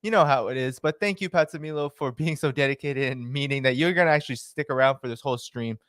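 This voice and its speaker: A man hosting his own live stream, chatting casually, greeting viewers by name and filling pauses with "uh" and "um.". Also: medium pitch, a bit bright, dry recording, clean studio sound. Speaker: livestream host. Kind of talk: casual livestream chat.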